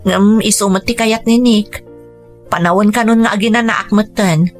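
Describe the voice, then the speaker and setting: A woman's voice: mid-range voice, steady tone, normal speed, faint music behind, close to an everyday voice. A woman playing an apologetic, dutiful grown daughter in an Ilocano radio drama, placating and deferential. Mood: pleading, placating. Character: apologetic, dutiful adult daughter pleading for patience.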